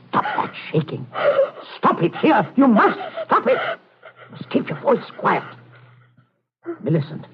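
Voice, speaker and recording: Stern, impatient middle-aged father, deliberate and higher-voiced, 1940s radio drama, very tinny broadcast sound. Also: husky, heavily acted. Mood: grim, insistent. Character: stern, domineering father coldly interrogating his daughter.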